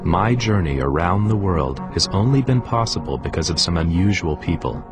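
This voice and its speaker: Middle-aged American man, very low voice, earnest documentary host over loud music. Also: lively intonation. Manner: earnest, measured delivery. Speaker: documentary presenter. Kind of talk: scripted documentary narration. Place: photo studio, indoors. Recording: music bed underneath.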